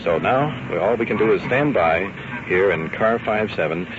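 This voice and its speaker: Deep-voiced 1950s radio reporter, man, field recording. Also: lively intonation, moderate pace, muffled recording, noisy background. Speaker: radio host reporting from police ride-alongs. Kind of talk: unscripted on-scene radio narration. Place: outdoors and in a police car. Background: vehicles and police radio.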